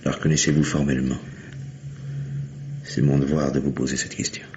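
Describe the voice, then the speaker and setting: Gravelly, deep, solemn policeman. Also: flat delivery, fairly quick. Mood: grave, sympathetic. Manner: formal, subdued. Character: grave, formal policeman at the morgue. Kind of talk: French radio mystery drama acting. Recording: noisy, muffled vintage radio drama recording.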